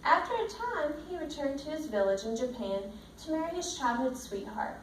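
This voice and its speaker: A woman reading a picture book aloud as a teacher, pausing to put simple questions to young pupils. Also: high voice, big pitch swings. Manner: checks understanding with simple questions. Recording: roomy, distant mic, faint background noise.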